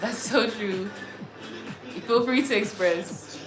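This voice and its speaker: A woman's voice: a little high, slow. Woman in a roomy, echoing livestream. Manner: earnest, effusive, repeats herself, often says "like". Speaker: livestream host. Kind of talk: casual livestream chat, reading and answering comments. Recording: roomy echo, music behind the voice. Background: music.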